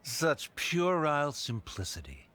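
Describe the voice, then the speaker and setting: A man's voice: on the high side, wildly swooping pitch, a little slow, fairly bright tone, heavily acted. A man playing a haughty, aloof scholar in a video game, with a cool, formal, condescending delivery. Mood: disdainful.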